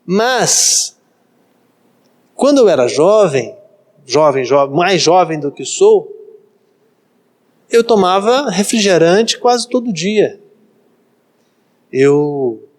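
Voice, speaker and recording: A Brazilian man in his thirties, a pastor giving a practical sermon and repeating phrases for emphasis. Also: sits high. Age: 30s. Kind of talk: sermon, practical life advice.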